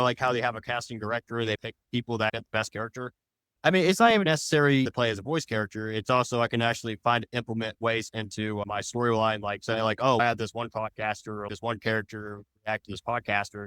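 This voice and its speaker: A man's voice: medium pitch, fairly bright tone, clean tone, no echo, rough recording. A man talking casually as a podcast guest, rambling along in loosely worded sentences. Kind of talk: casual interview chat.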